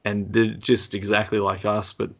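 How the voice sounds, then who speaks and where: A man's voice: fairly low pitch, little pitch movement, moderate pace. An easygoing man from Australia with an Australian accent, chatting casually and answering briefly. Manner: easygoing, brief agreements, laughs often. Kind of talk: casual chat.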